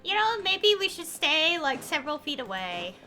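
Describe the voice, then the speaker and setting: A woman's voice: high-pitched, very animated, normal speed, pushed and bright, soft background music. A woman streaming a video game, giving casual commentary in a rambling, hesitant way.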